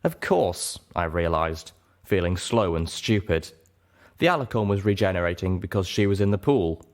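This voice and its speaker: A man reading fiction aloud as a dramatic first-person narrator, measured and expressive. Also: low voice. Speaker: audiobook narrator.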